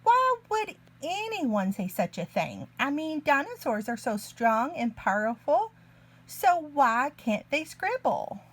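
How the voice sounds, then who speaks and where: A woman reading a picture book aloud to young children in an expressive, deliberate way. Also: sits high. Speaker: children's story reader, early learning teacher.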